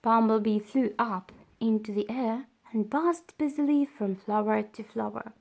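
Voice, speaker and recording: A woman reading a children's story aloud as storyteller, with deliberate, dramatised phrasing. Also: a little high, gravelly, a little mellow.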